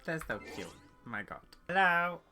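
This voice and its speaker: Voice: medium pitch, very animated, pushed and bright, soft background music. A gaming streamer giving casual playthrough commentary and reading game text aloud. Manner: halting when reading aloud. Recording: faint background noise.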